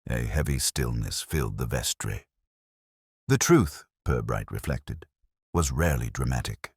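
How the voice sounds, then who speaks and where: A man narrating a scripted mystery story in a measured, literary way. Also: a bass voice, sing-song, husky, no echo, clean studio sound.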